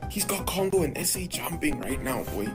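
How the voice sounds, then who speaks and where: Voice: medium pitch, very animated, fairly quick. A music commentator talking in an emphatic, hyped way and repeating phrases for effect.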